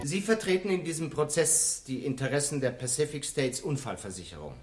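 An actor playing a formal, probing defence lawyer questioning in court in a German radio play, with measured phrasing. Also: male voice, on the high side, lively intonation, loud music behind the voice, lightly acted.

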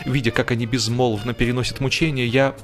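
Guy reading a funny audiobook, mid pitch, wry and theatrical w/ pauses, some music under it. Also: flat delivery. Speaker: audiobook narrator. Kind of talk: expressive scripted narration of a humorous story.

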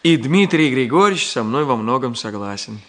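Actor as animated, polite young man. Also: mid-range voice, normal speed, lightly acted. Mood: polite, earnest. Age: young adult. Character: polite, eager young male engineer guest. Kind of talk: Soviet radio drama acting.

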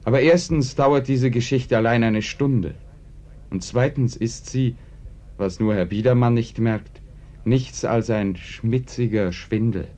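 Mellow, measured male radio narrator. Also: medium pitch. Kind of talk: scripted narration addressed to listeners. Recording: muffled old radio recording.

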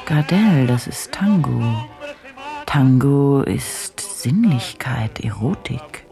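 An actress playing an intense, probing female journalist in a radio drama, speaking in deliberate, clipped, analytical phrases. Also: a bass voice, expressive, gravelly, mellow, faint music behind, exaggerated voice. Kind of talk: German radio drama acting.

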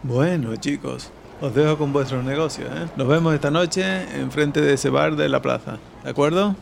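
Un hombre interpretando a un vecino callejero, cínico y campechano, de voz rasposa, en una ficción sonora. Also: male voice, fairly high pitch, moderate pace. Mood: chummy. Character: street-wise, cynical local man, chummy. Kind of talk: audio drama acting. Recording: noisy outdoor street soundscape behind.

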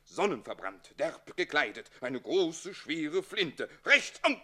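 Cocky scheming manservant, theatrical and boastful, very lively melody, classic radio drama. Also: male voice, on the high side, slow, exaggerated voice. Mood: mock military bluster. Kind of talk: classic German radio play acting.

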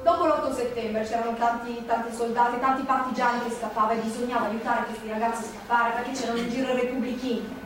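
A woman's voice: on the high side, fairly even intonation, quick pace, hardly any pauses, natural voice. An actress playing an elderly Milanese former partisan woman in a theatrical monologue, nostalgic, earthy and rambling, in colloquial speech. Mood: urgent, grieving. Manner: colloquial, rambling, repeating herself. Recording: echoey theatre, distant mic, faint noise.

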